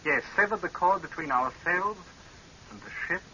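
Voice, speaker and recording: An old, hissy and muffled radio recording carries a hard, bright male voice of middling pitch. a young avenger who speaks with deliberate, sardonic calm and fatalistic menace. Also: heavily acted. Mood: grim triumph. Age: young adult. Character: coldly vengeful young man, calm, mocking, fatalistic. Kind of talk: 1930s-40s radio horror acting.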